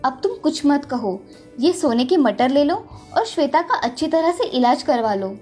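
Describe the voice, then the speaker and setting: A woman as a high-voiced kind village wife. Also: animated, normal speed, darkish tone, soft background music. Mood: reassuring. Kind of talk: dramatised children's moral story.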